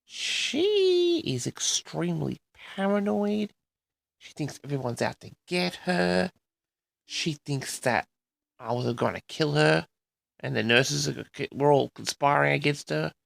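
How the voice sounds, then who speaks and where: Halting man with a heavy creaky voice. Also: fairly high pitch, animated, fairly bright tone, no echo. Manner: halting, pausing often, repeatedly addresses the audience. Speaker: host of an audio log. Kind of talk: rambling solo monologue to an audience.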